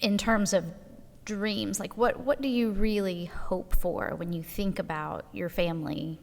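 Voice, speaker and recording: A woman's voice: mid-range voice, fairly even intonation, unhurried, vocal fry. Chatty woman in her forties, echoey room. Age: 40s. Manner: chatty, rambling with many fillers. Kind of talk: casual church podcast conversation.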